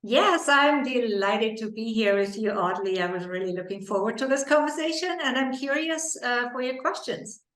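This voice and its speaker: A woman from Germany with a German accent, a guest on a podcast talking about her life in a relaxed, reflective way and laughing lightly now and then. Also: fairly high pitch, moderate pace, few pauses, reverberant. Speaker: podcast guest, leadership coach. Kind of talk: casual interview conversation.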